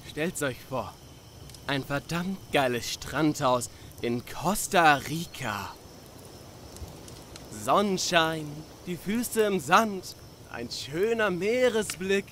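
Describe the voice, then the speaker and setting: A man's voice: medium pitch, expressive, takes it slowly, slight background noise. A man voicing a laid-back, dreamy young drifter in a video game fan dub, slangy and musing. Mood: dreamy, playful. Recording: night crickets and outdoor ambience behind. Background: cricket and insect ambience.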